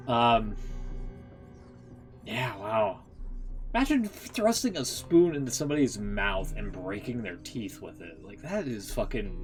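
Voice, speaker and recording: A man chatting casually as a streamer, reacting in a rambling, sweary way while searching for words. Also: lowish voice. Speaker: game streamer. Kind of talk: casual reaction commentary and chat. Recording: music behind the voice.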